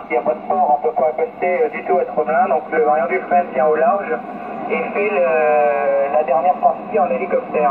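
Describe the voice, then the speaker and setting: A man on an amateur radio expedition, explaining life on a remote island in a casual, hesitant way with many fillers and restarted words. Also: on the high side. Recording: noisy, phone-like radio link.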